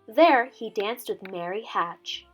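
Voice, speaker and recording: A woman's voice: medium pitch, animated, slow pace, soft background music, low-quality recording. A woman in her twenties speaking as a teacher doing a storybook read-aloud for children. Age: 20s. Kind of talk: casual intro chat and storybook read-aloud.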